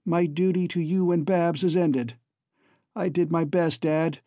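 A man's voice: a little high, steady tone, a little slow, dark tone, dry recording, pitched above the performer's own voice, natural voice. A man voicing an earnest, devoted young man in an emotional, pleading audiobook dialogue. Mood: pleading, resolute. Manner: choked, emotional, pleading delivery. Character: earnest, devoted young man bidding his family farewell.

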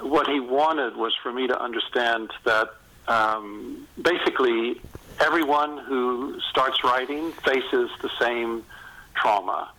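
A man of middle age or beyond speaks in a bright, forward voice at a medium pitch. He chats like an interviewed author, enthusiastic and gently self-mocking, pausing and regrouping within his thoughts. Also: low-quality recording. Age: middle-aged or older. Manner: enthusiastic, self-deprecating, halting mid-sentence. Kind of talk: casual conversational interview, anecdotal.

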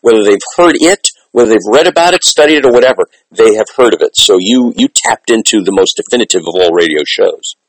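Mature man, slightly rough voice, dry sound, emphatic interviewer, conversational commentary, repeats phrases for weight. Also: lowish voice, animated, fairly quick, hardly any pauses, no echo, rough recording. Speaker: interviewer, communications and theatre teacher.

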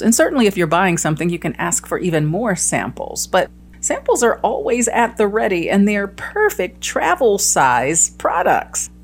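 A woman presenting a short scripted travel tips segment in an upbeat, emphatic way. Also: medium pitch.

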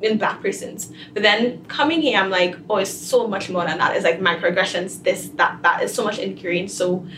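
A woman's voice: mid-range voice, normal speed, few pauses. A young woman from Trinidad and Tobago, a first-year student talking animatedly and saying "like" often. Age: young adult. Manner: animated, with frequent "like". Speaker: undergraduate guest. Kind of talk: conversational interview answers. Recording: roomy, distant mic with clear noise.

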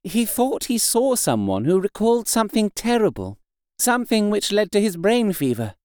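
A man voicing a demure young married woman in an audiobook, speaking modestly, gently and politely. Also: sits high, sing-song, normal speed, no echo, studio quality, pitched above the performer's own voice. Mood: concerned.

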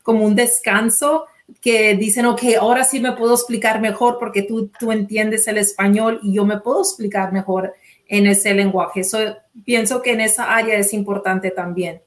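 A woman's voice: mid-range voice, fairly even intonation, normal speed. A woman whose first language is Spanish, interviewing a guest in a casual, chatty way and dropping in English fillers like "so" and "yeah".

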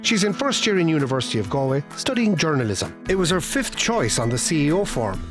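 Guy as wry irish ex-radio boss telling a story, lively highish voice, music underneath. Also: brisk. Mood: wry storytelling. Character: wry, self-assured former radio station boss man. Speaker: narrator. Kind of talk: scripted comic narration.

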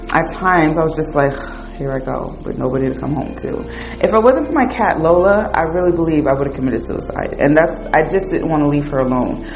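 A woman's voice: low-pitched, low-quality recording. A woman in her forties from New Jersey, hosting a podcast and telling a personal story in a candid, conversational way with lots of fillers. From New Jersey, United States. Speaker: podcast host. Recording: music playing behind the voice.

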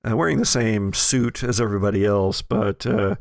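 A man hosting a tabletop game as game master, improvising descriptive narration in a halting way. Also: lowish voice, creaky, no echo, clean studio sound. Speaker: game master and podcast host.

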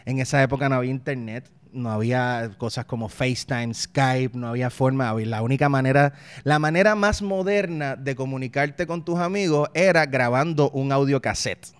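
Puerto Rican man in his thirties, interview guest; resonant, smooth voice, reflective, trips over words as he thinks. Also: mid-range voice, normal speed, hardly any pauses, no room sound. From Puerto Rico. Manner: reflective, frequent restarts and repeated words; uses local slang. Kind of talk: casual conversational interview.

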